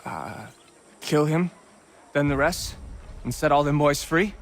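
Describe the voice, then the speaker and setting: A man's voice: on the high side, animated, slow pace, gravelly, full character voice. Folksy frontier rifleman. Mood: indignant, determined. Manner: folksy, blunt. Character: plain-spoken, cocky frontier rifleman. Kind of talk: video game dialogue.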